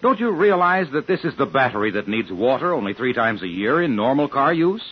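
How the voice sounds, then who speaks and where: Male 1950s radio announcer; animated hard sell, emphatic, slightly rough tone, dull vintage recording. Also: medium pitch, brisk. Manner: emphatic, hard-selling, mock-astonished. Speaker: commercial announcer. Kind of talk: 1950s scripted radio sales pitch.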